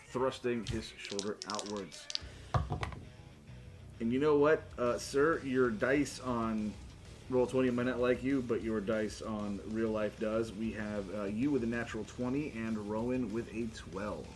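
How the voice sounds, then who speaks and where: A man's voice: medium pitch, fairly even intonation, measured pace, a little hiss or hum. A man acting as game master, narrating and refereeing a tabletop role-playing game. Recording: music playing behind the voice. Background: music.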